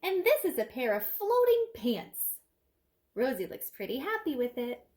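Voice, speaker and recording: A woman teacher reading a picture book aloud to children in an expressive, emphatic storytelling manner with dramatic hushed moments. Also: sits high, normal speed.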